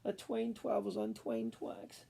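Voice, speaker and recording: Guy doing a timid lil storybook rat boy, says w for r, husky and mumbly. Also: high voice, big pitch swings, slow pace, warm tone, pitched above the performer's own voice, exaggerated voice. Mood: miserable. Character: tiny shy trembling male schoolboy rat.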